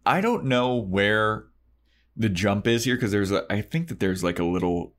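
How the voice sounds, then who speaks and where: A man's voice: lowish voice, animated, normal speed. A man co-hosting a podcast, casually recapping a story in an informal, emphatic way. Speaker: podcast co-host.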